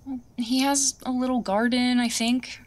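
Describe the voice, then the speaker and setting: Actress doing exasperated skeptical young woman, audio drama, kinda high voice, bit of noise. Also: fairly even intonation, normal speed, natural voice. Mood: mild, polite. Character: exasperated young woman, skeptical friend, sweet on her neighbor. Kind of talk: audio drama dialogue, naturalistic.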